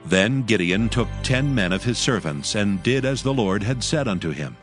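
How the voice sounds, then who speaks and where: Male scripture narrator: lowish, measured, formal reading over faint music. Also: animated.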